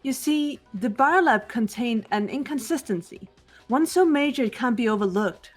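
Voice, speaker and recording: A woman voicing a cool, calm, sharp-witted young woman in a fan dub of a video game, speaking in a measured way. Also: on the high side, faint music behind.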